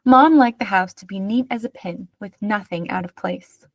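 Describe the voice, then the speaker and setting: A woman reading a children's picture book aloud as an expressive narrator. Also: medium pitch, normal speed, dry recording, highs cut off.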